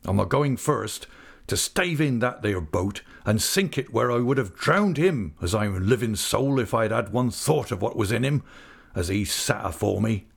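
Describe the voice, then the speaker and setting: Man playing a gravelly, stricken older seaman. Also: on the low side, expressive, normal speed, exaggerated voice. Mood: wild, vengeful. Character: rough older seafaring man, stricken, fiercely devoted uncle. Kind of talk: dramatised audiobook character.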